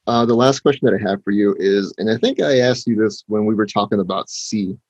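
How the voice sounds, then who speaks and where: Man, somewhat low voice, a little husky, muffled lo-fi audio, casual interviewer. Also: a little fast, a little mellow, dry recording. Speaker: interviewer, host.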